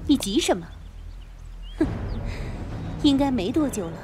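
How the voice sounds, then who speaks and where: 一名女演员在广播剧中饰演冷酷、有心计、语带嘲讽的帮派女叛徒。. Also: female voice, high-pitched, very animated, measured pace, background noise. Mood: taunting. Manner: taunting, coolly condescending. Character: cold, scheming female gang insider turned betrayer. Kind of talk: Chinese radio drama acting.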